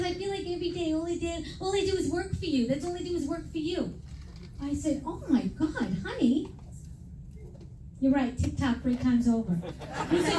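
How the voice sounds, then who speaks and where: A woman's voice: extremely high pitch, recorded from a distance, very noisy. A middle-aged woman from New York doing a stand-up comedy set, brash and self-mocking, acting out the people in her stories. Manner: brash, self-mocking punchlines, quoting others in raised voices. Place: comedy venue with a live audience. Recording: echoey room, mic heard from afar, loud crowd laughter.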